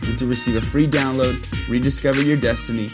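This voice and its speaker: A man reading a scripted podcast outro in a smooth, promotional way. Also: medium pitch, fairly even intonation, a little fast. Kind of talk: scripted outro read over music. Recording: read over loud hip hop music. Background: loud hip hop music.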